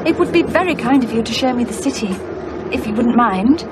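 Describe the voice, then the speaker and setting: An actress playing a poised, bitter woman in a radio play, polite and measured in manner. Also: a little high, lively intonation, heavy background noise, natural voice. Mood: polite, reserved.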